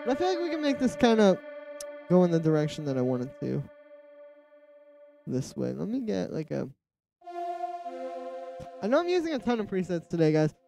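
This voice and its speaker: A man's voice: sits high, normal speed, gravelly, long pauses. Nasal dude streaming from his home studio, chill rambly chat, synth tracks blasting underneath. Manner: relaxed, musing aloud, reads chat replies. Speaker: music producer streamer. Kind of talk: casual livestream chat while producing. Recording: livestream with loud music under voice. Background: electronic music playing.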